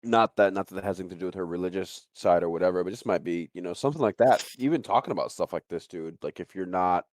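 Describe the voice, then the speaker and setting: Low-voiced man chatting casually. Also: quick pace, hardly any pauses, darkish tone, a little hiss or hum. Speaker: co-host of a live show. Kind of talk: casual livestream chat.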